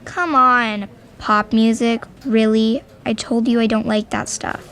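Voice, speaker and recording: A woman's voice: fairly high pitch, fairly even intonation, measured pace, a bit bright. A woman voicing a moody teenage girl who is a goth outsider, talking in a blunt, earnest, defensive way. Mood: pleading. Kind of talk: audio drama acting.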